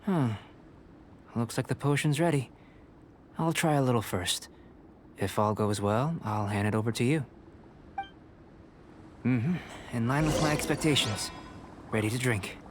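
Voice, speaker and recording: A man playing a calm, self-assured scholarly alchemist in a video game, speaking in a measured, analytical way. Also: medium pitch, a little hiss or hum, natural voice. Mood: composed, pleased. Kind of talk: video game character acting. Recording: music behind the voice.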